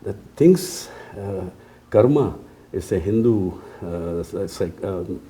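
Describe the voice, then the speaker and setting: Older man, very dark mellow low voice. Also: lively intonation. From India. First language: Urdu. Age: 70s or older. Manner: halting, meandering storytelling with hesitant pauses. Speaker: interview guest, retired police officer. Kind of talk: casual reminiscing interview.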